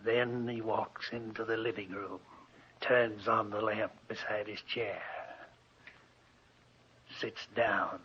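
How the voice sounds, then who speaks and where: An actor playing a spooky, gloating old hermit who narrates murder in theatrical 1940s radio horror style. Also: male voice, on the low side, level tone, bright, forceful tone. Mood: ominous. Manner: theatrical, ominous, slow and deliberate. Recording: dull noisy old radio recording.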